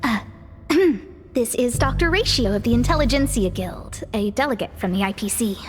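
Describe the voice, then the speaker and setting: An earnest young woman officer, voiced by an actress in a game cutscene. Her high-pitched, anxious voice sits over clear music. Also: lively intonation, normal speed, big-room sound, lightly acted. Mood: earnest, flustered. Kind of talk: scripted video game cutscene acting.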